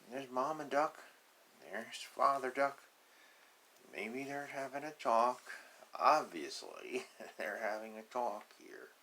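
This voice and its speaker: Animated man reading a picture book aloud. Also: medium pitch, slow pace. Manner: playful, simple explanatory asides. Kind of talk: read-aloud to young children with casual asides.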